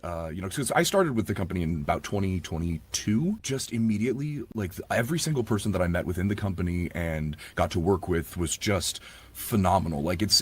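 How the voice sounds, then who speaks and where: A man speaks as an interview guest in a fairly low register. His voice has a husky grain to it, and his manner is effusive and enthusiastic, in an informal conversational style. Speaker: audiobook narrator and guest. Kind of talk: casual interview chat.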